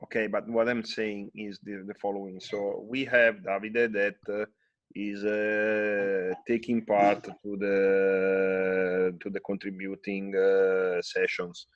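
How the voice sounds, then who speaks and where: A man with a lowish, even voice talks through muffled conference-call audio. His phrasing is deliberate and halting, as a participant putting questions in a meeting. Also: no echo. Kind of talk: meeting discussion.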